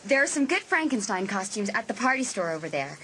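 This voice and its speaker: A woman's voice: mid-range voice, very animated, a little fast, pushed and bright, slight background noise, low-quality recording. An actress playing a bossy, scheming, guarded teenage girl in an audiobook drama, speaking in a pointed, insistent way. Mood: bossy, breezy.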